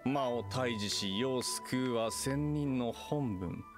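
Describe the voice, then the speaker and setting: A man's voice: mid-range voice, takes it slowly, over music, lightly acted. A man playing a pompous fake immortal sage in a theatrical anime game style, with grand archaic phrasing. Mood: grandiose. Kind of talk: Japanese anime game acting.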